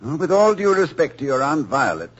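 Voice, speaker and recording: An actor playing a genteel, witty college president with a dry, urbane manner, in 1940s radio acting on a dull old broadcast recording. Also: male voice, a little high, very animated, a little fast, slightly rough. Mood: wry. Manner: urbane, dry wit, chuckles often.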